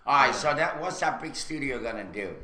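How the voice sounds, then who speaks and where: A man's voice: medium pitch, animated, a little fast, pushed and bright. A man on a live talk show panel, bantering casually with teasing, slangy questions. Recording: roomy, echoey live webcast.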